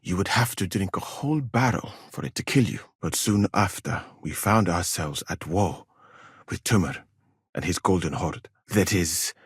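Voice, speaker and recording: An actor playing a learned, well-travelled West African physician in a video game, speaking formally and precisely with dry wit and a husky voice. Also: male voice, deep voice, lively intonation, no room sound, heavily acted. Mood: calm, explanatory. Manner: formal, measured, precise diction with dry irony. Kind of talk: video game character dialogue.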